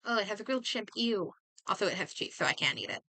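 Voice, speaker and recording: Bright-voiced woman streamer with lively pitch. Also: quick pace, dry recording. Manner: muttering to herself, thinking aloud. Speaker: game streamer. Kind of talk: casual livestream chat while playing a game.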